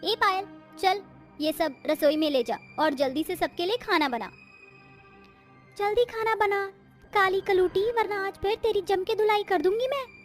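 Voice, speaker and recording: Lady doing a bossy cartoon village in-law, super high voice, scornful scolding, faint music behind. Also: measured pace. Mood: scornful, threatening. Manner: sharp, commanding scolding. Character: scornful, bullying female in-laws of a village household. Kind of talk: cartoon moral story acting.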